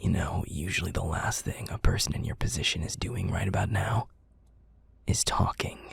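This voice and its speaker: Male actor as bitter werewolf alpha for audio drama: very deep, gravelly, threatening, commanding. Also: heavily acted. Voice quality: gravelly, breathy growl. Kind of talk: audio roleplay drama acting.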